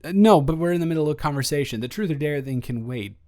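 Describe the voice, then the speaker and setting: A young man playing an awkward, eager, rambling ex-boyfriend in an improvised romantic roleplay audio, stammering and halting as he talks. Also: on the high side, lively intonation, darkish tone, natural voice. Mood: amazed, excited.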